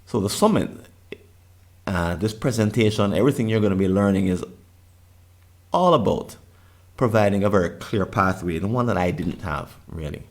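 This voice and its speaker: A man's voice: on the low side, moderate pace, pauses often. A middle-aged man with a Jamaican accent, earnestly presenting a summit session and often restarting his phrases. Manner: earnest, with frequent restarts and repeated words.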